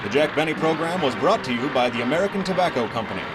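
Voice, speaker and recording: Casting a hearty announcer, slightly high voice, lively intonation, pushing each line. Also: male voice, racing, over loud music, poor audio. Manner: hearty, emphatic. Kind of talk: 1950s radio commercial reading.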